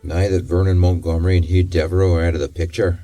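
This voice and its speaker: An actor playing a cold, irritable villain in an audio drama. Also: male voice, sits low, fairly even intonation, fast, over music, natural voice. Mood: cold, gloating. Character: cold, irritable male villain hoarding a secret device.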